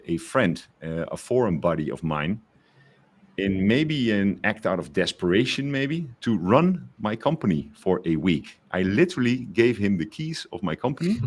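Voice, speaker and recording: A man co-hosting a podcast who talks in a hesitant, searching way, with many fillers and restarts. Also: low voice. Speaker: podcast co-host. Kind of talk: casual conversation.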